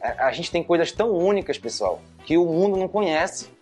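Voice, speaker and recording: A man's voice: on the high side, animated, fairly quick, a little mellow, husky. A man in his thirties with a Manaus Brazilian accent, chatting in slangy interview talk through a cloth mask into a lapel mic. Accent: Manaus Brazilian Portuguese. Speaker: interviewee, graffiti artist. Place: indoor room, masked. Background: music.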